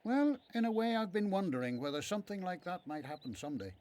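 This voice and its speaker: Elderly devout father for radio Bible drama played by a man: slightly high voice, lively inflection, frank and supportive. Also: fast talker. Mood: gentle, frank. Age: older.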